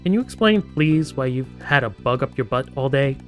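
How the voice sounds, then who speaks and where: A man's voice: mid-range voice, animated, normal speed, fairly bright tone, soft background music, close to an everyday voice. A man voicing a teasing, doting mother of a teenager in a casual manner. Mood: teasing, exasperated.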